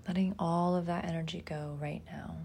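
A woman leading a guided meditation in a soft, soothing manner, pausing often and trailing into breathiness. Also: fairly low pitch, steady tone, gravelly, a little hiss or hum.

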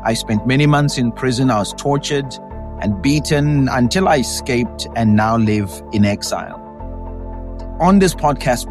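Low-voiced Zimbabwean man hosting a podcast. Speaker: podcast host and democracy activist.

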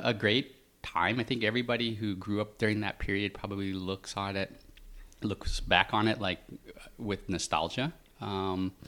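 Middle-aged man, deep-pitched, relaxed chatty guest, hesitant. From Vietnam, raised in Oregon, US. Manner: relaxed, many ums, chuckles. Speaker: guest, host's father. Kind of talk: casual interview.

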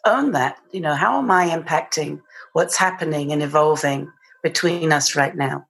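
A woman's voice: deep voice, normal speed, vocal fry, a bit bright, slight background noise. A woman with a British accent, a guest in a podcast interview, talking reflectively and searching for her words. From England. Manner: thoughtful, searching, restarts mid-phrase.

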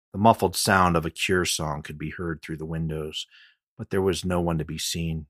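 Low-pitched man narrating a mystery audiobook. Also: a bit bright, dry recording, studio quality. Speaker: author reading his own audiobook.

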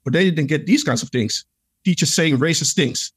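A man's voice: fairly high pitch, moderate pace, slightly rough. A man, a podcast guest, telling his own experiences in an earnest, reflective way, with many "like" fillers. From Netherlands. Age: adult. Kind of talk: conversational interview storytelling.

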